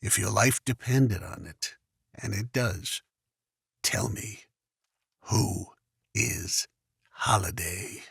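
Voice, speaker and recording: Husky, gravelly old mob boss. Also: male voice, lowish voice, dry recording, studio quality, full character voice. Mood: quiet menace. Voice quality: hoarse, gravelly rasp. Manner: slow, deliberate, quietly threatening. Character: menacing male crime boss, ageing patriarch. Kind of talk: scripted motion comic acting.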